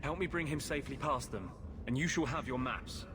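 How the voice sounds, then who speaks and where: An actor playing an earnest, brooding runaway warden who defends mages, in measured video game dialogue. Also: male voice, mid-range voice, natural voice. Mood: grave, earnest. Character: weary, defiant runaway warden, protective of mages. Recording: clear background noise behind the voice.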